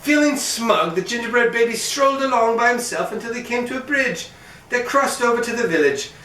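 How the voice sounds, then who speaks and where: A man's voice: very high voice, animated, brisk, pushed and bright. A man reading a children's storybook aloud as host, narrating expressively and playfully for young listeners. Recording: roomy echo, mic at some distance.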